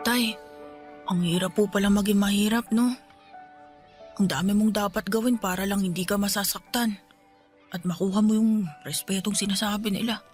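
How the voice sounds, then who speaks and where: A woman's voice: mid-range voice, steady tone, normal speed, pauses often, darkish tone, faint music behind, lightly acted. A woman playing an anxious, devoted young son in a Tagalog radio drama, speaking respectfully and with open emotion. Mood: worried. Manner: respectful, pleading, emotional delivery. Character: anxious, devoted young boy named Boyet, a poor man's son.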